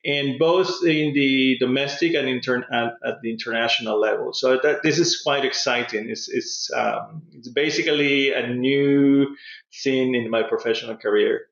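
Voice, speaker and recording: A man's voice: mid-range voice, gravelly, fairly bright tone. Older Peruvian man in a roomy, dull recording. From Lima, Peru. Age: 50s or older. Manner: hesitant, pausing often. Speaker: podcast guest, pet food industry consultant. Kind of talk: conversational interview answers. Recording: roomy echo, dull lo-fi sound.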